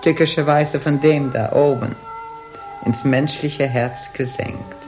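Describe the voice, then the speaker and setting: A woman's voice: very deep voice, fairly even intonation, takes it slowly, over music, exaggerated voice. An actress playing a sly, teasing, hundred-year-old witch and bawd in a radio play, speaking in a mocking, grandiloquent way. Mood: solemn, triumphant.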